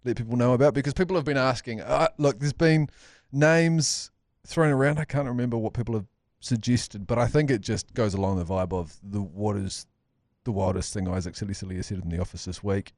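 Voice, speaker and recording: A man with a New Zealand accent and a mid-pitched voice hosts a podcast. He banters casually, and the studio sound is close and dry. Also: brisk, few pauses, a little mellow, no room sound. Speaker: podcast host.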